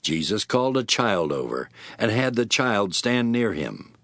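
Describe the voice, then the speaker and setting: Low, expressive male scripture narrator. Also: a little slow. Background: music.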